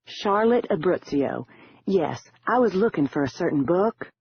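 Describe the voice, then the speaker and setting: A man voicing a sly, confident woman con artist in an audiobook, with a husky tone. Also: high-pitched, expressive, measured pace, dry recording, pitched above the performer's own voice, full character voice. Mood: polite. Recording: dull lo-fi audio.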